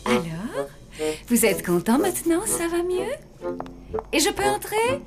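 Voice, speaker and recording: A woman's voice: extremely high pitch, huge pitch swings, a little slow, over loud music, exaggerated voice. A woman voicing a suspicious, doting zombie mother who runs a hotel. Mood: coaxing. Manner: fussy, questioning, doting. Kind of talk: French cartoon dubbing.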